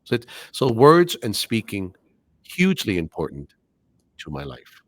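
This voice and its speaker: A man's voice: lowish voice, wildly swooping pitch, measured pace, no echo. A middle-aged man, a native Spanish speaker and English teacher, speaking articulately with careful diction in a casual podcast interview.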